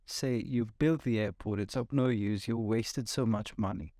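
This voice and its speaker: A man's voice: on the low side, normal speed, mellow, no echo, studio quality. A man hosting a podcast, asking questions and commenting conversationally. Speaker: podcast host. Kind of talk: conversational interviewing.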